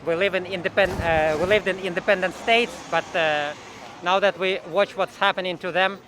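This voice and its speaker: A man's voice: high voice, fairly even intonation, normal speed, background noise. A man from the former Soviet Union with an Eastern European accent, explaining his views hesitantly in a street interview. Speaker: rally participant giving an opinion. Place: outdoors at a city rally in Canada.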